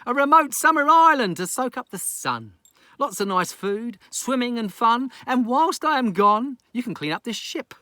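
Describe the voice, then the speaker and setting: A man's voice: very high-pitched, very animated, normal speed, pushed and bright, no echo, pitched above the performer's own voice, exaggerated voice. A man voicing a bossy, fed-up pirate's wife in a children's story, declaiming insistently. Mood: fed up, demanding.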